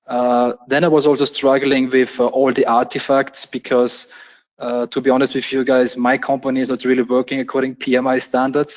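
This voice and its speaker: A man's voice: mid-range voice, lo-fi sound. A man from Austria with an Austrian German accent, a German native speaker talking casually and hesitantly as a guest on a webinar, with many fillers and restarts. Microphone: webinar call audio.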